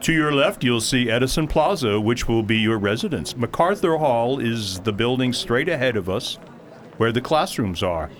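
A man playing a polite, literal-minded mentor guide in an audio drama, speaking in a formal, measured and precise way. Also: mid-range voice, expressive, close to an everyday voice. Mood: courteous, unruffled. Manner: formal, measured, precise phrasing with few contractions.

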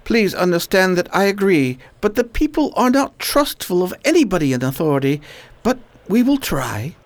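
Woman as worn-down male plague fighter, low and dry, animated intonation, amateur radio drama. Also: normal speed, no echo. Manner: low, tired, wry. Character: weary Oxford-educated man fighting plague.

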